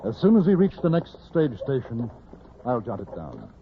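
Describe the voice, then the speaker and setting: An actor playing an urbane, wry gentleman traveler, articulate and formal, in old radio drama style. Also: medium pitch, huge pitch swings, moderate pace. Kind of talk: 1950s radio drama narration and dialogue. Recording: tinny, hissy old radio recording.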